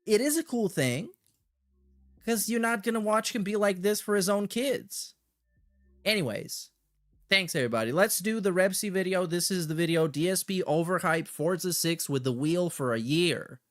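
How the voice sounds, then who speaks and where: A man's voice: sits high, normal speed, a bit bright, no echo, studio quality. A man hosting a livestream with casual, slangy, sweary commentary and a sarcastic edge. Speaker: livestream host. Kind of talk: casual chat with shout-outs and commentary.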